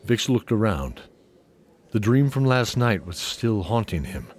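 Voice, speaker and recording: A man narrating a scripted fantasy audio drama in a deliberate, atmospheric storytelling style. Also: lowish voice, very animated, darkish tone, slight background noise.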